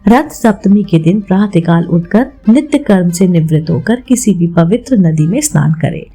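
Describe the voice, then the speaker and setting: A woman's voice: lowish voice, lively intonation, normal speed, mellow, slightly rough. A woman hosting a devotional explainer, telling religious tales and instructing listeners as friends. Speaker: host of a devotional channel. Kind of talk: devotional explainer narration with storytelling.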